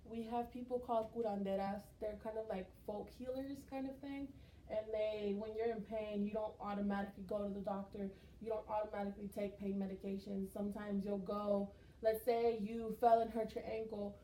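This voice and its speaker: A young woman from Texas talks through her experiences in a chatty, animated way. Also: mid-range voice, fairly quick. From Texas, United States. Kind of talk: conversational class presentation. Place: skills lab room. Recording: roomy echo, faint noise.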